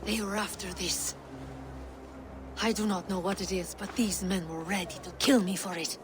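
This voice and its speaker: A woman's voice: mid-range voice, fairly even intonation, moderate pace, background noise, close to an everyday voice. An actress playing a wary Norse woman, shaken after an attack, who talks in a guarded and urgent way in a game cutscene. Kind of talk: video game cutscene acting.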